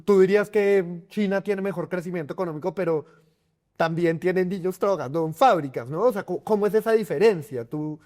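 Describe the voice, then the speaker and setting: A man's voice: high voice, brisk, a little mellow. A man interviewing a guest, asking loose, friendly questions with frequent restarts.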